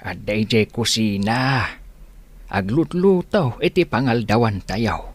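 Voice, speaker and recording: A slow-talking old father. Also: mid-range voice, lively intonation. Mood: calm. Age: older. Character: older father, calm and observant.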